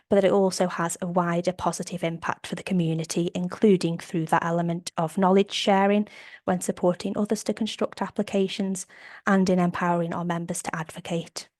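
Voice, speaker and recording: A woman with a slight cold, sounding a bit croaky, presenting survey findings on a video call in an upbeat, methodical way. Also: fairly low pitch, a little mellow, dry recording, clean studio sound. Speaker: operations manager presenting survey data. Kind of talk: webinar slide presentation.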